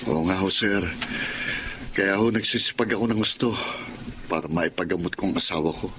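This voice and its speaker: Male actor as hardworking middle-aged dad, deep-ish voice, humble, deferential, radio drama, clearly noisy track. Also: moderate pace, lightly acted. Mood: worried, earnest. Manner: deferential, earnest. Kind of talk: Tagalog radio drama acting.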